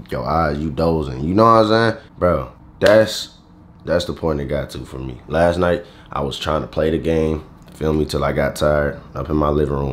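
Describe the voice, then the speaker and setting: Groggy young man, very low sleepy voice. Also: a little hiss or hum. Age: young adult. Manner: slangy, rambling, lots of fillers. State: groggy, just woke up. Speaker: vlogger. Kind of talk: casual vlog talking to camera. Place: bedroom at home, indoors.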